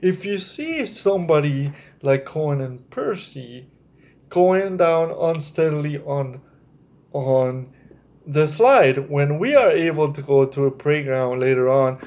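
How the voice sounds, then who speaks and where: Slow-talking, higher-pitched man for children's storytime. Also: expressive, a little mellow. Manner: encouraging, addresses listeners directly with check-in questions. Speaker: storyteller and host of a children's story time video. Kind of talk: read-aloud storytelling and explaining to children.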